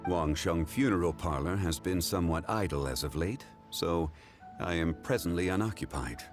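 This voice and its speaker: A man playing a refined, leisurely old-souled gentleman in a video game, speaking in a formal, measured, contemplative way. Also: deep voice, lightly acted. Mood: composed. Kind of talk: English video game voice acting. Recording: music behind the voice.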